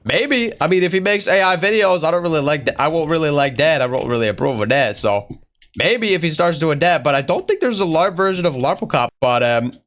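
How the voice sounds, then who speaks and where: A man's voice: animated, a little fast, fairly bright tone. Strained, raised-voice man chatting casually. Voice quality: strained put-on higher voice, imitating another YouTuber. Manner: slangy bro-talk, rambling. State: voice sore from straining. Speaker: YouTuber answering viewer questions. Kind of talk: casual Q&A vlog chat in character.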